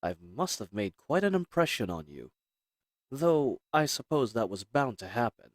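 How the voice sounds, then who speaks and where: A man playing a cool, confident, flirtatious assassin in a roleplay audio drama, with a smug, teasing, measured delivery. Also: medium pitch, very animated, dry recording, close to an everyday voice. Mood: menacing, smug.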